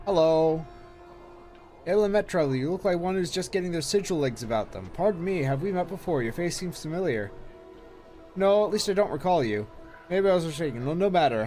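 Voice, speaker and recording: A man streaming a game, reading its dialogue aloud with casual asides, his voice a little hoarse from long talking. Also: a little high, a little mellow. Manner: reads long text passages aloud with brief asides.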